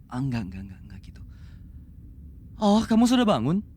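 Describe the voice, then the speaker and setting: A man's voice: mid-range voice, huge pitch swings, fairly quick, mellow, breathy edge. A man playing a young tsundere demon prince in an ASMR roleplay, haughty but easily flustered, slipping between cold menace and casual rambling. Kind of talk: Indonesian ASMR roleplay acting.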